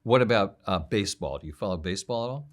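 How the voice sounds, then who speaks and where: Male interviewer with a low voice; brisk, quick-fire questioning. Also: expressive.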